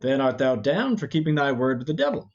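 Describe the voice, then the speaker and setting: A man voicing a cheeky, scheming young highwayman, jaunty and persuasive, in an amateur Shakespeare reading. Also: big pitch swings, fairly quick. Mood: mocking. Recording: dull, lo-fi.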